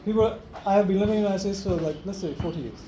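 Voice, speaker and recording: An older man with a Trinidadian accent speaks earnestly to his gathered family, leaning on repeated phrases like a sermon. His voice sits high. The room is echoey, with loud noise behind him. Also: very fast. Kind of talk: impassioned speech at a family gathering. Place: home gathering after a funeral service.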